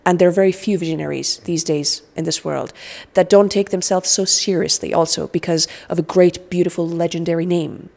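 A woman in her forties from Afghanistan giving earnest, halting interview remarks into a handheld microphone. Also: lowish voice, fairly even intonation, gravelly, darkish tone, long reverb tail. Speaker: interview guest, humanitarian. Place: indoor lounge with sofas.

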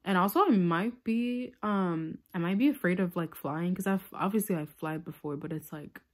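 A young woman from Tanzania chats into a phone held close in a small room, winding through a personal story with endless "like"s and restarts. Her voice sits a little low for a woman, and she speaks in a relaxed, offhand way. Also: moderate pace. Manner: rambling, lots of "like" fillers. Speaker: vlogger. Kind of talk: casual storytime chat.

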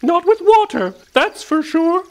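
A man voicing a small, cheeky, bossy female field mouse from a children's storybook, with a squeaky, thin falsetto and brisk, exclamatory delivery. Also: very animated, darkish tone, heavily acted. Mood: matter-of-fact.

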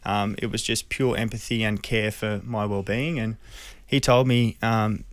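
An Australian man in his thirties telling a personal story in an interview, earnest, hesitant and measured, close on a studio mic. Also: lowish voice, steady tone, no echo. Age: 30s. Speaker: radio interview guest. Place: small radio studio. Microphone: close studio microphone.

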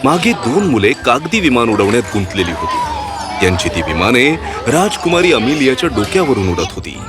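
A man's voice: medium pitch, sing-song, brisk. A man narrating a scripted children's fairy tale as a storyteller. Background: background music.

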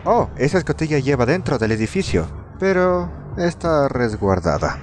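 A man's voice: medium pitch, sing-song, moderate pace, natural voice. A man with a Latin American accent playing a cocky, smug young corporate schemer in a fan dub of an adventure game. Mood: confident, scheming.

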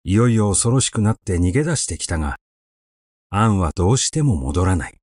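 A man reading literary fiction aloud as scripted narration, in a measured, dramatic storytelling manner. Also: low voice, sing-song, a little mellow, dry recording, studio quality. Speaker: audiobook reader. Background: music.

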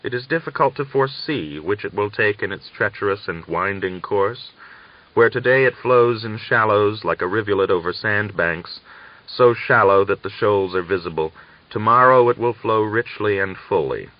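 A man reading a literary novel as an audiobook narrator, in an expressive storytelling style. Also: fairly low pitch, normal speed, dry recording. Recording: dull lo-fi audiobook recording.

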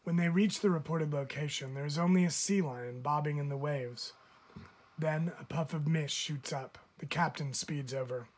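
A man reading a children's picture book aloud like a teacher, with expressive emphasis. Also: on the high side, moderate pace. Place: indoors, book filmed from above.

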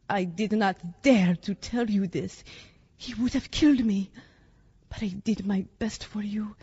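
Woman playing a long-suffering foreign wife, formal and scornful, raspy voice, audio drama, bit muffled. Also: lowish voice, dark tone, exaggerated voice. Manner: formal, deliberate phrasing.

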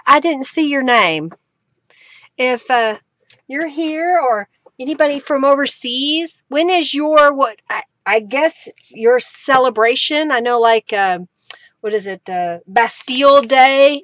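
Slow-talking woman crafting live. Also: high-pitched, a bit bright, no echo. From Oklahoma, US. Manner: chatty, giggly, thanks viewers, hums while working. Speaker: crafting livestream host. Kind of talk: casual live crafting chat. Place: craft room at a desk.

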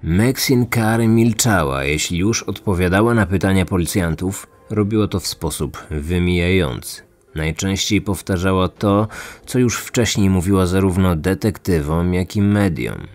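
A man's voice: sits low, moderate pace. A man reading a scripted true crime story as the narrator and host. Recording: music under the voice. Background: background music.

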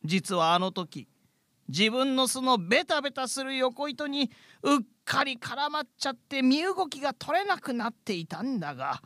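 A man's voice: very high-pitched, sing-song, normal speed, pushed and bright, no echo, studio quality, full character voice. A man voicing a theatrical, human-sized, chatty spider monster in a radio comedy drama. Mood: knowing, chatty.

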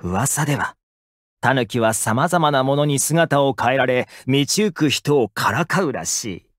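An actor playing a friendly, caring young housekeeper in an anime-style game, speaking casually and expressively with gentle attentiveness. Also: male voice, mid-range voice, expressive, unhurried, no echo, clean studio sound. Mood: earnest, friendly. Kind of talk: Japanese anime game character voice lines.

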